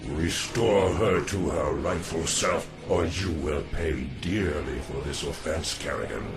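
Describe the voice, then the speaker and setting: A man playing an ancient, solemn alien warrior in a video game, speaking gravely and formally with a deep gravelly voice. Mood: accusing, stern. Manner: slow, grave, formal speech. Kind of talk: dramatic video game acting. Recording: loud game music underneath.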